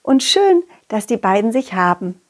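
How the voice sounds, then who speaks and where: Woman voicing a high, lively storybook dog. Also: normal speed. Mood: tender. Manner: affectionate, encouraging, addresses children directly. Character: confident, loyal, cheerful dog telling children his adventures. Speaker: puppeteer hosting a children's audio story podcast. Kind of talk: improvised children's storytelling.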